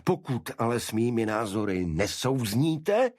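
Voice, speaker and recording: Man acting a fanatical, vengeful gentleman cultist, raised husky voice. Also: mid-range voice, very animated, dry recording, studio quality, pitched above the performer's own voice. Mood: guarded, indignant. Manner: impassioned, indignant, halting repetitions.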